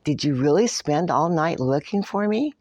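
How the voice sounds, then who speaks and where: A woman voicing a friendly, loyal female dog in a picture book, gently and knowingly. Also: sits low, expressive, normal speed, no echo. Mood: fond, knowing. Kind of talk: picture-book read-aloud.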